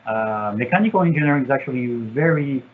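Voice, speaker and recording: A man from France with a French accent, an engineer answering student questions in a virtual talk, pausing often with fillers and restarts. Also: mid-range voice, animated, a little hiss or hum. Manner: halting, many fillers and restarts. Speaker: satellite engineer. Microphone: video call. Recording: tinny, phone-like video call audio.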